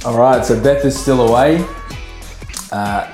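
A man hosting a podcast in casual chat, with a croaky voice, relaxed and quick to laugh. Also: mid-range voice, roomy sound, faint music behind. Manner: relaxed, chatty, laughs often. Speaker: podcast host.

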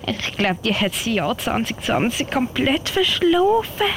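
A woman's voice: a little high, big pitch swings, a little slow, a bit bright, a little hiss or hum. A woman playing a polite, sensible but weary girl scout in an amateur radio play, with a Swiss German accent and a colloquial way of talking. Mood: wary, exasperated.

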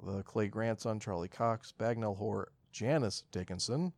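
A man's voice: on the low side, a little slow, darkish tone, dry recording. A man co-hosting a casual podcast, talking in laid-back, folksy slang. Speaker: podcast co-host.

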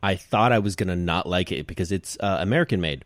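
Low-voiced man, dry close sound. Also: fast talker, dry recording. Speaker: podcast co-host. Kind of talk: casual group chat about pop culture.

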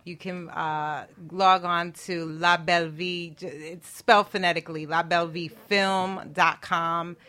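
A woman's voice: on the low side, steady tone, a little slow, few pauses, sharp tone. A woman guest on a talk show chatting casually, a little hesitant and self-deprecating. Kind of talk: casual chat.

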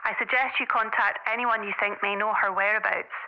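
A woman's voice: mid-range voice, steady tone, a little fast. A woman acting a detached, formal emergency call operator over a telephone line in a radio drama. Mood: formal detachment. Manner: formal, unhelpful. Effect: telephone filter. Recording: dull, muffled phone line.

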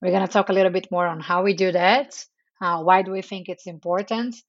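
A Brazilian woman in her forties speaking Portuguese as her first language, giving a measured podcast interview with frequent pauses. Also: on the low side, steady tone, dry recording, lo-fi sound. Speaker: chief marketing officer, guest.

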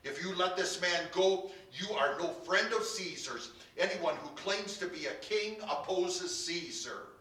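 A man voicing a loud, angry mob insistently demanding an execution in a dramatized scripture reading. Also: mid-range voice, fairly even intonation, moderate pace, few pauses, natural voice. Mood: insistent accusing. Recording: echoey room, distant microphone.

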